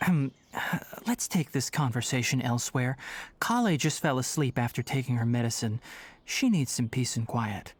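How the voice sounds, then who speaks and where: An actor playing a calm, scholarly young male forest ranger in a video game, speaking in an articulate, measured way. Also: mid-range voice, breathy edge. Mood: earnest, measured. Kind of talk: scripted video game dialogue. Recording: music behind the voice.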